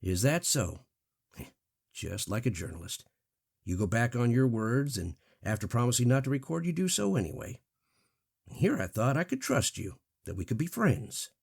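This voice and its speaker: A man's voice: medium pitch, sing-song, normal speed, creaky, breathy edge, exaggerated voice. A man acting a taunting, venomous old murder suspect in a radio drama, with slippery, theatrical menace. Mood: mocking, sly. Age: older. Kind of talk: audio drama acting in vintage radio manner. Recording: music laid under the voice. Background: plucked guitar music.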